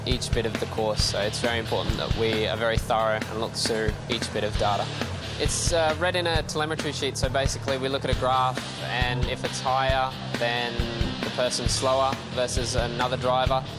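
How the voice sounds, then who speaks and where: Flat-voiced male race data engineer. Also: medium pitch, fairly quick, over loud music. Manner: flat, steady explaining with fillers. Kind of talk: explanatory interview.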